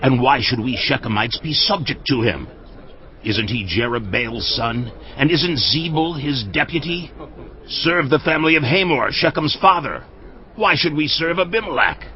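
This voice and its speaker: An actor playing a boastful, scornful rebel clan leader, declaiming in a dramatised audio Bible. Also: male voice, mid-range voice, lively intonation, normal speed, lightly acted. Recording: muffled, band-limited audio with faint music.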